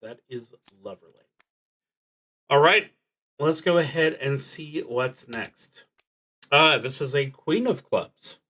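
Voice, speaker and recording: A man with a mid-pitched voice talks through a solo roleplaying game. He speaks very slowly and deliberately, pausing often as he reads prompts aloud and thinks through his moves. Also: animated, bright, forceful tone. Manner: halting and deliberate, with long pauses. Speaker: solo tabletop roleplaying streamer.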